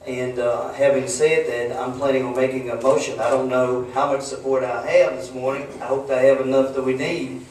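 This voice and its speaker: Even-toned man in a very echoey meeting room. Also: mid-range voice, normal speed. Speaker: board member with law enforcement background. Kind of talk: formal address at a public board meeting. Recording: very echoey room, distant mic, some noise.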